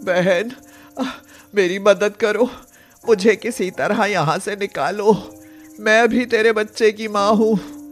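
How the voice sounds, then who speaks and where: A woman voicing a cruel, sharp-tongued stepmother cow in an animated moral story. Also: medium pitch, slow pace, faint music behind, natural voice. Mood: pleading. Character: cruel stepmother cow, sweet outside, bitter inside.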